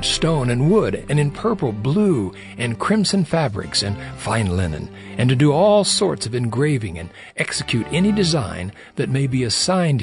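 A dignified, courtly king, proclaims with wide, animated pitch. He is gracious and diplomatic, in an audio scripture drama over soft music.

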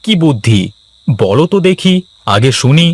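A man's voice: mid-range voice, big pitch swings, measured pace, no echo. A man voicing a humble, crafty village husband and farmer in a cartoon, with a pleading, deferential manner. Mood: worried. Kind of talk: cartoon dialogue acting.

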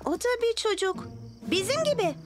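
A woman's voice: very high-pitched, very animated, moderate pace. A woman voicing a spirited, sensible little girl superhero in a dubbed children's cartoon. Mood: curious, friendly. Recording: loud music behind the voice.

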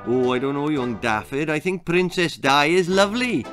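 A man voicing a deaf, oblivious elderly Welsh granny who rambles on in a croaky voice, in a children's story. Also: a little high, animated, moderate pace, a bit bright, faint music behind. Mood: vague, dreamy.

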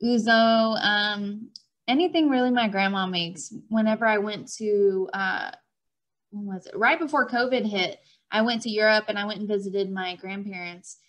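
A woman's voice: mid-range voice, moderate pace. A young woman with a light Texan accent, a guest on a podcast, chatting in a friendly, giggly way over a video call. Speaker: guest, fitness coach. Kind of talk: casual interview chat. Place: room at home by a window.

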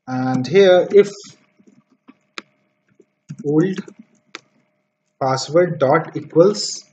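A man walks through code slowly, spelling out each line as he types, with many fillers. His voice sits fairly high for a man. The recording is dull and lo-fi, with faint noise and keyboard clicks behind. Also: dark tone. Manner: slow, step-by-step dictation of code with fillers. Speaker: programming tutor. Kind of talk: screen-recorded coding tutorial.

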